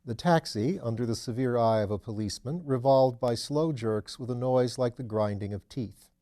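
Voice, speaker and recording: A man giving measured, articulate scripted narration as an audiobook reader. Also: mid-range voice, mellow. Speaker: audiobook reader and program host.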